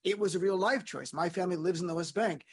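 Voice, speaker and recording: A middle-aged man speaking as an interview guest, an animated and emphatic storyteller. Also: high voice, fast, slightly rough. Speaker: guest, comedian and lawyer. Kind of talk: panel interview.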